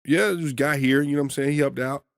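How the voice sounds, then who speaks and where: A man in his thirties talks on a podcast in a loud, commanding voice, leaning hard on his points as he tells stories. The sound is dry and close, with no room around it. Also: mid-range voice, fairly even intonation, racing, gravelly, dry recording. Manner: loud, commanding, emphatic storytelling. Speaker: podcast co-host. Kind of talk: casual podcast chat.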